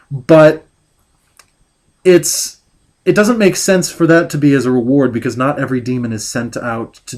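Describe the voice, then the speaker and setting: A man picks apart a book he plainly dislikes, his voice sitting at an ordinary middle pitch. He stumbles and restarts his sentences, sighing his way through a long rant. It is recorded at home on a desktop mic. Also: a little mellow. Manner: exasperated, ranting; halting, full of restarts. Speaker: book reviewer. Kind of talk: critical book review rant.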